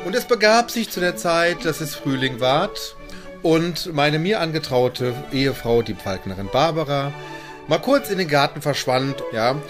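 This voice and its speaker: A man's voice: a little high, animated, measured pace, over music. A man, a falconer, explaining things and telling stories to children in spontaneous talk.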